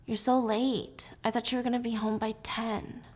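A woman's voice: on the high side, level tone, moderate pace, darkish tone, natural voice. A woman voicing a defensive, guilt-ridden young husband in a plaintive, apologetic way while retelling a film. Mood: whiny. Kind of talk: acted dialogue in a movie retelling.

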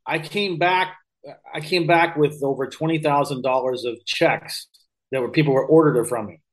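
A man's voice: fairly high pitch, normal speed. A man in his fifties with an American accent, talking casually as an interview guest and rambling through personal stories with frequent self-corrections. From Missouri, United States. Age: 50s. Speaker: podcast guest, real estate investor. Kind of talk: casual anecdotal interview talk.

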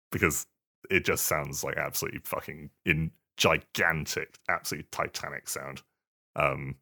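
A man co-hosting a podcast, chatting casually in a halting way and often repeating his words. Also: rumbling low, pushed and bright. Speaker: podcast co-host. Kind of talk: casual chat.

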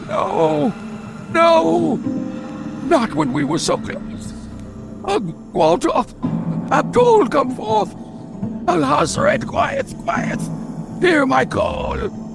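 A fanatical high priest of a dark god in an old radio play. The voice is extremely high and gravelly, swinging wildly as it rants incantations over dramatic music. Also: male voice, sing-song, extremely slow, pauses often, heavily acted. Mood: desperate. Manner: ranting, theatrical incantation.

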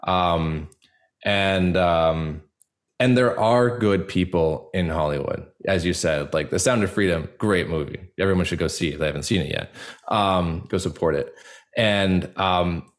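A man speaking as an interview guest in a casual, earnest way, pausing often as he searches for words. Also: low voice. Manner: halting, searching, full of pauses and filler likes.

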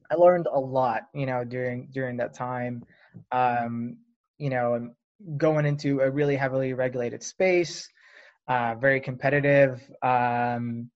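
Flat-voiced man from Lebanon, lo-fi audio. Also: mid-range voice. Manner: unhurried and reflective, with frequent fillers. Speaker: startup founder guest. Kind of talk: casual podcast interview. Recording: dull lo-fi audio.

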